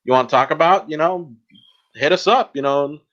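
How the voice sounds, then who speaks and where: A man hosts a relaxed chat show in a slightly raised voice. His phrasing is hesitant and stop-start. The sound is dull and a little lo-fi. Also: a little high, normal speed. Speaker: podcast host. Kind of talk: casual podcast chat.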